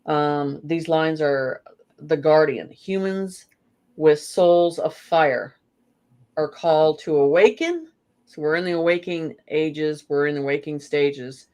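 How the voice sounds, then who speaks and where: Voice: mid-range voice, a little slow, darkish tone, smooth. A guest in a spiritual conversation, talking in a meandering way with frequent "you know" fillers.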